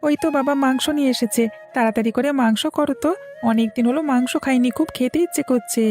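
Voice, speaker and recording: A woman playing an eager, whiny young village boy in a Bengali animated cartoon, pleading and impatient with the grown-ups. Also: high voice, fast, soft background music, lightly acted.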